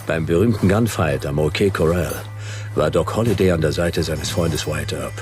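An actor playing a tough, skeptical private detective in a radio play, with a dry manner. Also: male voice, very deep voice, moderate pace, darkish tone, faint music behind.

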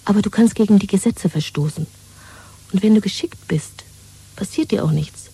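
Defiant lover woman, super husky breathy, dark mellow, intimate, mocking, 70s radio play. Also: medium pitch, normal speed. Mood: intimate, persuasive. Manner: intimate, breathy, often half-whispered. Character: pragmatic, mocking female lover, secretly defiant of the regime. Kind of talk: 1970s German radio play acting.

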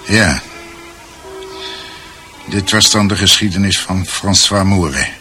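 An actor in a radio drama playing a grave man who recounts a family tragedy in a measured, sombre way. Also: low-pitched, sharp tone, faint music behind, exaggerated voice. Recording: faint hiss.

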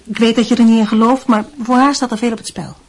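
An actress playing a doting, evasive middle-aged mother in a Dutch radio drama, explaining things gently and sidestepping. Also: fairly high pitch, flat delivery, fast.

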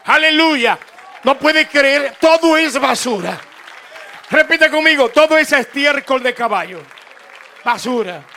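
A man's voice: very high-pitched, animated, a little slow, bright, forceful tone, a faint crowd, a little hiss or hum. A man preaching a fervent sermon as a radio preacher. He stresses points by repeating them and calls on listeners to respond. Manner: fervent and emphatic, with repetition and rising exhortation. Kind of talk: evangelical sermon.

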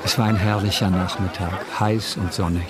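A man's voice: deep voice, steady tone, normal speed, a crowd in the background, natural voice. A man giving composed, literary scripted narration as the narrator of a radio drama. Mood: composed, descriptive. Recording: clear music behind voice.

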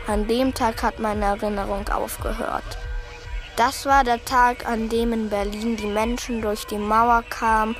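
A woman's voice: fairly high pitch, level tone, a little slow, close to an everyday voice. An actress playing a haunted, restrained woman recounting a childhood trauma in a radio drama monologue. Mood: haunted, recollecting. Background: music.

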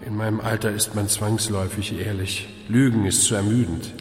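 An actor playing a tired, wry older hospital volunteer with a dry, sardonic manner in a German radio play. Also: male voice, on the low side, fairly even intonation, normal speed, natural voice. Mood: wry, weary. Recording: echoey reverberant hall, music behind.

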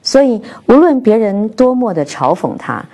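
A woman hosting a programme, narrating scripted commentary in a measured storytelling way. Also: a little high, sing-song. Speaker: radio programme host. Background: soft instrumental music.

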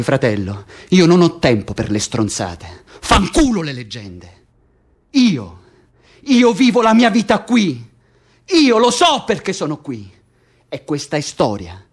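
An actor playing a stubborn, hot-headed, foul-mouthed political prisoner in a theatrical radio drama, speaking vehemently and repeating himself. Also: male voice, a little high, breathy edge, heavily acted. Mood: angry, defiant.

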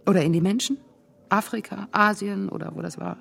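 An actress in a radio drama playing a wealthy, wounded, vengeful middle-aged woman, intense and halting, with a creaky voice. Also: mid-range voice. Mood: desperate, pleading. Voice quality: creaky voice, often breaking into whispers.

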